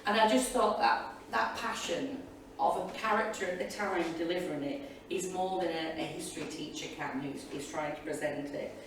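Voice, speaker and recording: A woman's voice: on the low side, normal speed, few pauses. A woman teacher giving informal, enthusiastic and rambling reflections to an audience as a panelist. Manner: chatty, enthusiastic, digressive. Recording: echoey hall, distant mic, loud room noise.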